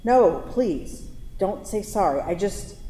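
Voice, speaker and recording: A woman's voice: mid-range voice, animated, slow pace, mellow. A woman reading the part of a blunt, confident lover in a staged play, frank and sardonic in manner. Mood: frank, gently firm. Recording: echoey theatre hall, faint noise.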